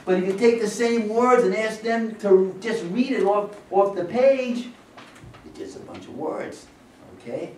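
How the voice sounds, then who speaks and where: A man's voice: high voice, expressive, a little slow, darkish tone. An older man giving an informal welcome speech to an audience as playwright and director, with an emphatic, rhetorical manner that keeps checking with listeners. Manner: emphatic, rhetorical, often checks with "right?" and "okay?". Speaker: playwright and director introducing a staged reading. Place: medium-sized indoor rehearsal room with audience. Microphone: camera several metres away. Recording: roomy, distant camera mic with faint noise.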